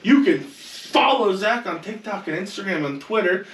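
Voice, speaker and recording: A man's voice: high voice, lively intonation, moderate pace, fairly bright tone, recorded from a distance. Man in echoey room, faint noise. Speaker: podcast co-host. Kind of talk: casual panel chat.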